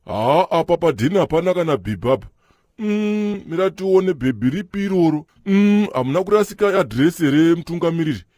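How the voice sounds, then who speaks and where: Brief: male actor as scheming street fixer, Zimbabwean accent, rapid slangy delivery, dry booth sound. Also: fairly high pitch, expressive, darkish tone, no room sound, close to an everyday voice. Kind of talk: cartoon voice acting.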